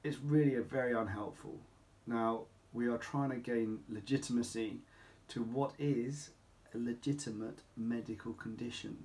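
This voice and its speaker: A middle-aged man speaking as a clinic doctor, explaining to camera in an emphatic, deliberate way with rhetorical questions. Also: mid-range voice, steady tone, a little mellow. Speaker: doctor at a men's health clinic. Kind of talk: informal explainer talk to camera. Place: small home office or consulting room, indoors.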